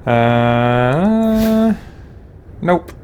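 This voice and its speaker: A man's voice: a little high, sing-song, very slow, slight background noise. A man giving casual let's play commentary as a game streamer, hesitantly thinking aloud. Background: loud game music and sound.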